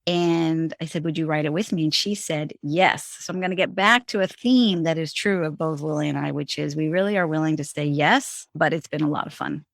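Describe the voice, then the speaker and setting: A woman's voice: deep voice, a little fast, creaky, hardly any pauses, dry recording, clean studio sound. A middle-aged woman, a guest on an interview show, recounting her career in a conversational way with frequent restarts. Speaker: guest, former bank executive. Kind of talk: storytelling, interview answers.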